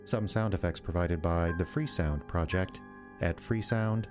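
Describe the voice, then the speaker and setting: A man's voice: low-pitched, a little slow. A man announcing the closing credits of a radio drama in a scripted, presentational style. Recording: music under the voice. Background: music.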